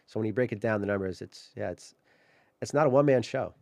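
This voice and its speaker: A man's voice: fairly low pitch, quick pace, creaky, warm tone, no echo, studio quality. A man hosting a podcast interview, speaking in a casual conversational style. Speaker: podcast host, political candidate.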